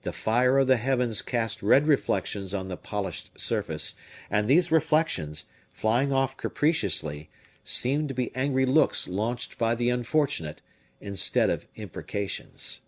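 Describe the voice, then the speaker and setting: A man narrating an audiobook with expressive storytelling. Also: medium pitch, a little slow. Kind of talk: scripted narration.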